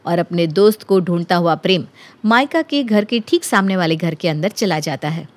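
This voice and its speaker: A woman's voice: lowish voice, animated, moderate pace. A woman narrating a scripted story as a storyteller.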